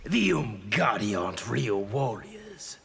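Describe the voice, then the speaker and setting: A ruthless, scornful soldier from a fighting game. His delivery is slow and deliberate, thick with gravel. Long reverb and faint noise surround the voice. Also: fairly low pitch, big pitch swings, a bit bright, exaggerated voice. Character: ruthless, cold, contemptuous male soldier. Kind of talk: video game fight intro dialogue.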